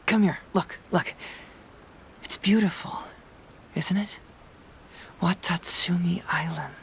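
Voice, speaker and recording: A woman's voice: low voice, a little hiss or hum. A woman voicing a thoughtful, easygoing young detective in a video game, with a sincere, reflective delivery. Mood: friendly, sincere. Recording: music behind the voice.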